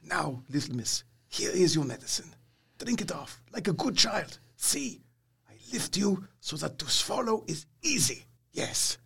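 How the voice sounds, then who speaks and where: Old doctor in audio drama, husky, very animated pitch, fatherly but bossy, odd foreign wording. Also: full character voice. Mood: coaxing, fatherly. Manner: deliberate, emphatic, quaintly foreign phrasing.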